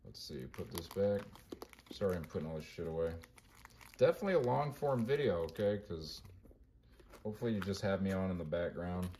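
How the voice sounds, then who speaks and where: A man with a monotone voice casually talking through his card collection, mumbling names as he flips through binders. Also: deep voice, a little fast, a little hiss or hum. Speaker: collector vlogger.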